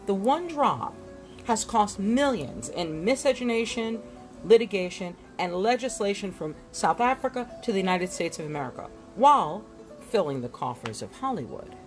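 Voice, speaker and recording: A woman reading a nonfiction book aloud in a dramatic, deliberate way, leaning on words and leaving emphatic pauses. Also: medium pitch, big pitch swings, soft background music. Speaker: book reader.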